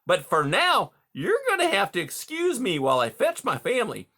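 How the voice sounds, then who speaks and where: A man's voice: high-pitched, huge pitch swings, normal speed, bright, forceful tone, pitched above the performer's own voice, exaggerated voice. A man reading aloud, voicing a smarmy, hearty male beaver who chatters in folksy salesman sayings.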